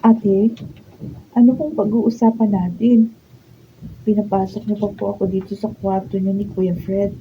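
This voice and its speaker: A woman's voice: mid-range voice, flat delivery, unhurried, pauses often, slight background noise, lightly acted. An actress playing a humble, earnest young woman who works as a maid, in a radio drama, recorded from a distance. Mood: polite. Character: humble young housemaid and student, polite and earnest. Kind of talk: radio drama acting and first-person narration. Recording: distant, phone-like room recording.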